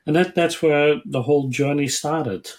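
A man of about sixty from the Netherlands, a guest answering interview questions in a measured, earnest way and pausing to find his words. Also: a little high. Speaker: guest, ministry worker and lecturer. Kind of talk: interview answers, personal storytelling.

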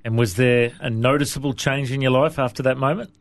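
A man's voice: mid-range voice, steady tone, fairly quick. Upbeat male TV interview host. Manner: upbeat, friendly, laughs easily. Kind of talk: studio interview. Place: television studio.

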